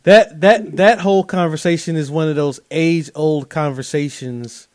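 A man's voice: fairly high pitch, normal speed, darkish tone, no echo, clean studio sound. A man hosting a podcast in casual conversation, talking loosely with "bro" and restarting his words as he works into a question. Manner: conversational, uses "bro", stammers restarts when starting questions. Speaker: podcast host.

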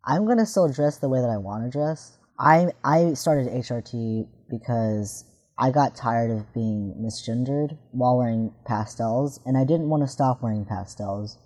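Young guy, deep mellow voice, talks it through honestly, restarts a lot. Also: unhurried. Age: 20s. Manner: candid, thinks aloud with restarts. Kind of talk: casual discussion.

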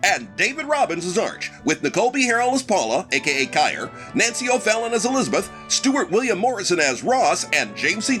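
Bright, high-pitched male announcer. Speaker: announcer and narrator. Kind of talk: scripted end credits announcement.

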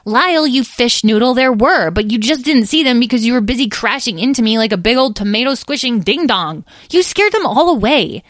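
A woman voicing an excitable, boastful young male dragon in a children's story, exclaiming and squabbling. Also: mid-range voice, fairly quick, few pauses, no echo, studio quality, pitched above the performer's own voice, close to an everyday voice. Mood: indignant.

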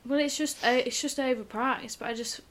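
A young woman hosting a relaxed podcast from her home. Her voice is bright and forward, her talk rambling and chatty. She laughs often between her thoughts. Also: sits high, fairly quick. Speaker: podcast host. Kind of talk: casual chat between friends. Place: shared home.

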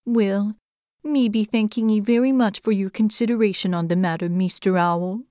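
A meek little storybook hedgehog speaks in a raised, hushed voice with an odd, slightly distant tone, as a woman puts him on. He talks slowly and shyly, in quaint broken grammar. The sound is close and dry. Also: a little high, dry recording, pitched above the performer's own voice, close to an everyday voice. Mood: meek pleading. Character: shy timid little male hedgehog.

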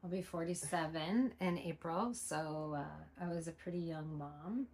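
A woman's voice: low-pitched, unhurried, darkish tone. A woman in her forties co-hosting a podcast, speaking openly and reflectively in a casual conversation. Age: 40s. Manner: open, earnest, reflective. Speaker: podcast co-host.

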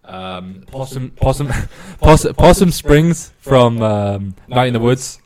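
An eighteen-year-old man in a relaxed podcast chat. His voice sits at an ordinary middle pitch. He talks haltingly, jokes, and keeps restarting and correcting himself mid-sentence. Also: crowd noise. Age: young adult, 18. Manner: halting, self-correcting, joking. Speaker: podcast guest. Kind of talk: casual chat.

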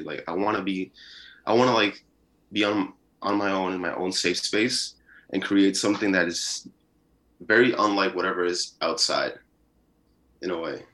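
A young man from Peru, a musician guest, talking casually in an interview with a relaxed, rambling manner and many fillers. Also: sits low, normal speed, rough recording.

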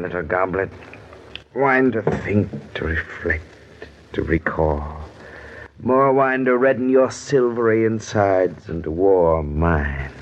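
A man's voice: low voice, heavily acted. An actor playing a bitter, jealous nobleman in an old-time radio drama, speaking in a theatrical, imperious, musing way. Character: bitter, jealous nobleman brooding over wine and betrayal. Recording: muffled old radio recording, faint noise.

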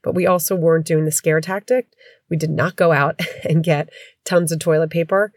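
Congested low-voiced woman podcaster. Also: fairly even intonation, moderate pace, darkish tone, no echo. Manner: chatty, rambling, candid. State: congested with a head cold. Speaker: podcast host. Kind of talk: casual podcast chat. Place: home.

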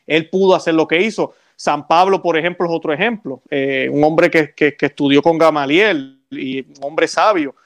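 Guy hosting, bit high voice, explains stuff and keeps checking in with his guest. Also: normal speed, few pauses, fairly bright tone. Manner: explanatory, persuasive, frequent tag questions. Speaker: interview host. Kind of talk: conversational interview, faith talk.

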